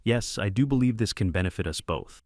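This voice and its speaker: A man's voice: lowish voice, animated, fairly quick, dry recording, studio quality. A man playing a formal, composed criminal boss in an amateur audio drama, polite but commanding.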